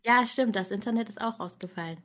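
A young woman, an author and reading coach, chatting casually on a livestream from a warm room at home, talkative and quick to laugh at herself. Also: on the high side. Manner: chatty, laughing often, self-mocking.

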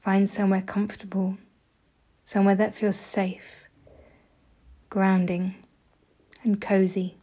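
Female meditation guide, mellow and breathy, with an even, barely moving pitch and soothing, gentle instruction. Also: slow pace, long pauses. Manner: soft, gentle delivery, often breathy.

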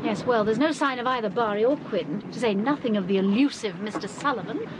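A woman's voice: on the high side, animated, noisy background, natural voice. A woman playing a poised, inquisitive detective's wife. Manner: bright, inquisitive, brisk. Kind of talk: 1940s radio acting.

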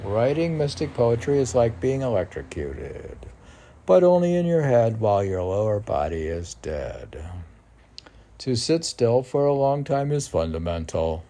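A man's voice: mid-range voice, sing-song, unhurried, dark tone. A man reading his own poems aloud as a poet, with declamatory, emphatic delivery.

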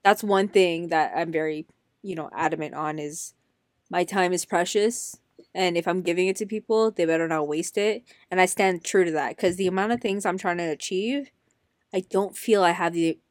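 A woman's voice: lowish voice, moderate pace, dry recording, studio quality. A woman in her twenties co-hosting a podcast, emphatic and slangy, calling friends "bro". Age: 20s. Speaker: podcast co-host. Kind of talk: casual chat.

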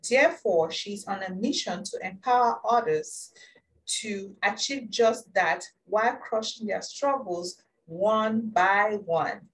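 A woman's voice: mid-range voice, slow, distant mic, low-quality recording. A woman hosting a show, chatting casually and eagerly with her guest, laughing often. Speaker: podcast host. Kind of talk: casual interview chat.

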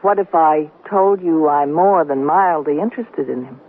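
A woman's voice: low voice, normal speed. A woman playing a frank, self-assured woman in love in a 1970s radio comedy drama. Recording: tinny narrow-band radio recording.

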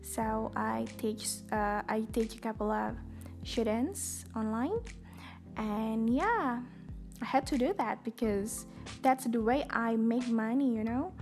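A woman's voice: on the high side, takes it slowly, a little mellow, faint music behind. A young woman with an Indonesian accent hosting a podcast, talking casually and chattily with lots of fillers. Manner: talkative, chatty with many fillers. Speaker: podcast host. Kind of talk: casual personal monologue.

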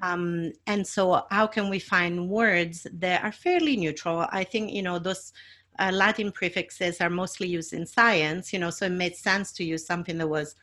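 Woman, Mediterranean accent, somewhat low voice, patient expert guest, careful explanations. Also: moderate pace, sharp tone. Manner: patient, explains step by step with fillers. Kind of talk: explanatory interview answers.

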